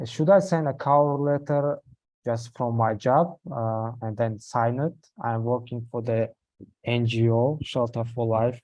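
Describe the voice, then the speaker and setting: A man's voice: mid-range voice, steady tone, measured pace, darkish tone, no echo, muffled recording. Man, from Tajikistan, prospective student, hesitant, video call, dry sound. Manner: hesitant, explains at length with fillers. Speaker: prospective student asking questions. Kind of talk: online call Q&A.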